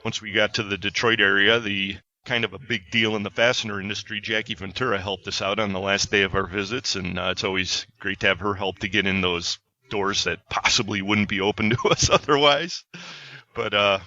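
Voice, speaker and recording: A male podcast host talks in a chatty, upbeat way, slipping in plugs. His voice is very bright and forceful, pitched a little low. The sound is dull and lo-fi. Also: fairly even intonation, a little fast. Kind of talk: casual chat with plugs.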